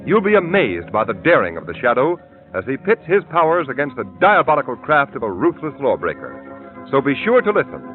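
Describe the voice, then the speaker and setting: Emphatic old-time radio announcer. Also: male voice, medium pitch, huge pitch swings, brisk. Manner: crisp, emphatic. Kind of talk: scripted announcing. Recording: tinny old radio broadcast with music.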